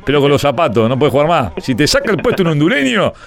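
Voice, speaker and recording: A man's voice: fairly high pitch, big pitch swings, fast, gravelly. A man with a Uruguayan accent hosting a casual sports chat, teasing and colloquial, repeating words for emphasis. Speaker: radio show host.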